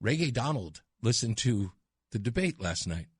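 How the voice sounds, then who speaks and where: A male radio host chatting casually in a studio, excitable, laughing often and breaking into loud bursts. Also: medium pitch, normal speed, slightly rough, no echo. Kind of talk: casual on-air chat. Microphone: studio microphone.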